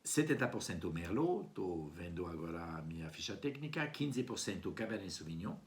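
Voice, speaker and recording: A man with a distinctly low voice and a French accent presents wines informally. A native French speaker, he feels his way through phrases in a second language, pausing and rephrasing as he goes. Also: very animated, slight background noise. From France. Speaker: wine club presenter. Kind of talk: informal wine tasting presentation. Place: at home indoors.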